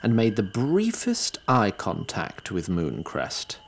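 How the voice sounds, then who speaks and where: A man's voice: lowish voice, huge pitch swings, slow pace, creaky, a little hiss or hum. A man reading scripted narration for a fantasy story.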